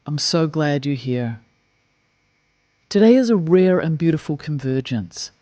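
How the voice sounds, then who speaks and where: A man guides a meditation at an unhurried, very slow pace, his mellow voice soft and soothing as he counts breaths. The sound is close and dry, slightly muffled and dull. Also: fairly high pitch, expressive, dry recording.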